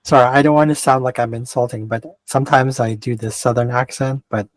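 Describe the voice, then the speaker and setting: A man's voice: mid-range voice, fairly even intonation, a little fast, no room sound. A man with a mixed Canadian and West Coast American accent giving a casual astrology chart reading, rambling and often interrupting himself. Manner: rambling, self-interrupting, digressive.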